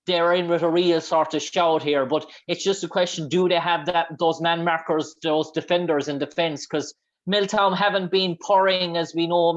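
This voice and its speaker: A man's voice: a little high, fairly bright tone. A man hosting a radio sports show, bringing in guests and posing questions with many fillers. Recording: dull lo-fi radio sound.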